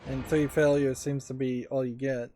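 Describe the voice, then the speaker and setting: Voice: medium pitch, flat delivery, normal speed. A let's play host giving casual game commentary, musing and thinking aloud while working through a puzzle game. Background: game music.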